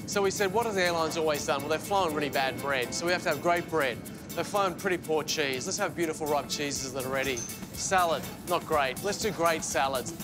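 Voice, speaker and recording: Higher-voiced man interviewed over music. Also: fairly quick. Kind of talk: interview recollection. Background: background music.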